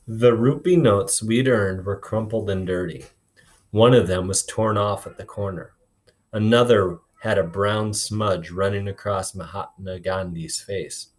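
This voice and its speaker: Man, low-pitched, teacher, expressive read-aloud narration, explanatory asides, informal and instructive. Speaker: teacher reading aloud to a class.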